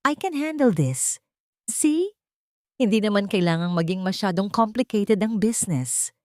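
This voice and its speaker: A woman's voice: mid-range voice, lively intonation, unhurried, a little mellow, no room sound, studio quality, voice pushed up, lightly acted. A woman with a Filipino accent voicing a self-assured, breezy mistress in an audio story, dismissive in manner. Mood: smug, breezy.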